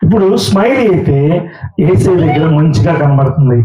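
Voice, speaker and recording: A man's voice: on the high side, animated, brisk, dark tone, slight background noise. A man teaching children at a Sunday school puppet show, coaxing and questioning a child in a large hall. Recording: roomy, distant, dull sound.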